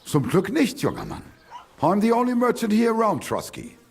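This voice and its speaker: An actor playing a grumbling, condescending village merchant in a video game, with a German accent and German phrases slipped in. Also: male voice, sits high, wildly swooping pitch, normal speed, vocal fry, breathy edge, full character voice. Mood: gossipy. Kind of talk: video game character dialogue.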